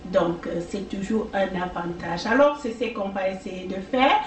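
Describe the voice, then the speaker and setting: Woman, native French speaker, slow hesitant delivery, echoey room, distant mic. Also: mid-range voice, expressive, a little hiss or hum. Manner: slow, hesitant, many fillers. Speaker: language exam tutor. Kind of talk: casual video talk.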